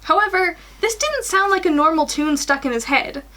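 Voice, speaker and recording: A woman's voice: extremely high pitch, animated, normal speed, sharp tone. A woman in her twenties reading a fan story aloud and chatting informally in a small room at home. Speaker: guest reader on a fan fiction livestream.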